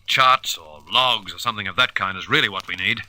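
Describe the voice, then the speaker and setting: A man's voice: fairly low pitch, brisk, sharp tone, dry recording, poor audio. An actor playing a decisive space hero who leads his crew in an old-fashioned radio adventure, thinking aloud as he gives orders. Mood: thoughtful.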